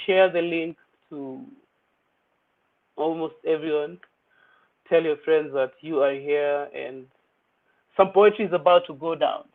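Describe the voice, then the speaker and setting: A man's voice: fairly high pitch, gravelly. A young man hosting an online poetry open mic in a chatty, playful way, mixing English with Swahili slang. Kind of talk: casual livestream hosting. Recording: tinny phone-like audio.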